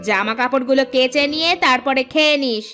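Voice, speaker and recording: Cartoon stepmother, bossy and sharp-tongued, voiced by a woman, high and bright. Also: fairly quick, faint music behind. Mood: scolding. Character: harsh scolding stepmother, middle-aged woman. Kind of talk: cartoon voice acting.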